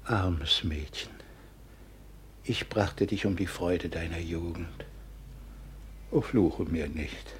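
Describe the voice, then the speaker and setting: An actor playing a frail, remorseful old father of noble birth in a classic radio drama, feeble, halting and lamenting, on a noisy recording. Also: low-pitched. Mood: weak, mournful.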